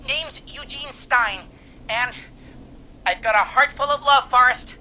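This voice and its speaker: A man's voice: very high-pitched, unhurried, bright, forceful tone, heavily acted, low-quality recording. A man playing an earnest, naive young lovesick caller to a radio show in a video game, dreamy and sappy. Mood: hopeful, lovesick.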